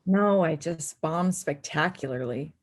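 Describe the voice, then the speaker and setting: A woman's voice: low voice, unhurried. A woman playing a stubborn teenage girl snowboarder in a screenplay table read, with a dry, sarcastic manner. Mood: dejected.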